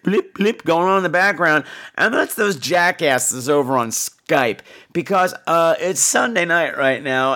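A man's voice: a little high, pushed and bright. A man with a laryngitis-sore throat, chatting off the cuff as a podcast host in a campy, catty, sarcastic and sweary way. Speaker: podcast host taking listener voicemails. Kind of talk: casual, off-the-cuff talk show chat.

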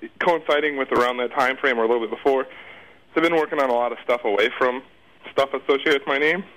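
A man's voice: medium pitch, fast talker, fairly bright tone, rough recording. A man speaking as a guest in a casual interview, talking in a rambling, hesitant, informal way with some slang.

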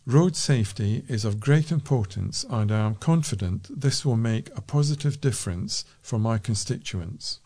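A man's voice: mid-range voice, expressive, moderate pace, few pauses, mellow. A British man reading local news aloud as a volunteer newsreader.

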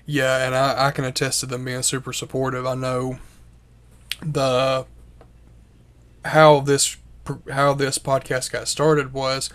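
A podcast host asking questions and giving brief affirmations in an even tone. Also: mid-range voice, slow pace. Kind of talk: interview host.

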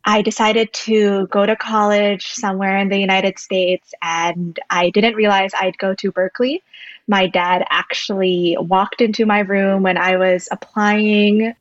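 A woman's voice: medium pitch, flat delivery, measured pace, no room sound. A young woman from Indonesia, a podcast guest, talking at length in an earnest, thoughtful way. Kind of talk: podcast interview. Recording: dull lo-fi dry recording.

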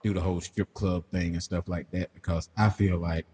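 A man's voice: deep voice, fairly even intonation, a little fast, mellow, slight background noise. A man of about forty, chatting casually as a podcast co-host, laid-back and laughing often. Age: 40s. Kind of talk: casual chat.

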